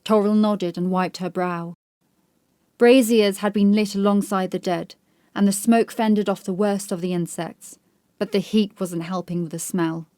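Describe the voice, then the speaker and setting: A woman reading scripted literary fiction as an audiobook narrator, in a measured, serious way. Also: medium pitch, fairly even intonation, a little mellow, no echo, clean studio sound.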